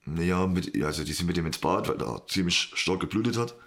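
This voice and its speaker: A man with a low voice answers an interviewer. He talks casually and plainly, in an unembellished, matter-of-fact manner. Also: brisk, a bit bright. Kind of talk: casual, plain-spoken personal account.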